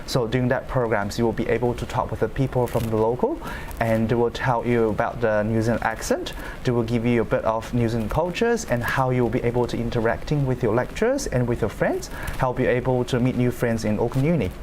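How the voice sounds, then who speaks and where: A man's voice: mid-range voice, quick pace, hardly any pauses, a little hiss or hum. Earnest young man from China. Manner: earnest, steady, with small grammar slips. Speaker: law student panelist. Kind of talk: panel discussion answers.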